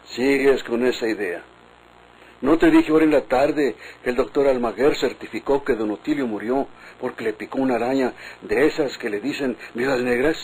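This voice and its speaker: An actor playing an exasperated, defensive village official in a Mexican radio drama, with a husky voice and Mexican accent. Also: male voice, medium pitch, normal speed, a little mellow, slight background noise, close to an everyday voice, poor audio. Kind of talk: vintage Mexican radionovela acting.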